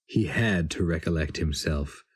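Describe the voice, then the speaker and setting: A man giving a solemn, deliberate, dramatic reading of a fantasy story as its narrator. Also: rumbling low, slightly rough.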